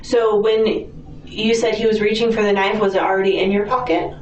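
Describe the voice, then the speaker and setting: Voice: mid-range voice, level tone, moderate pace. A police detective patiently questions someone in an interview, with short, neutral prompts and acknowledgements. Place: indoor police interview room. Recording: echoey room, distant muffled mic.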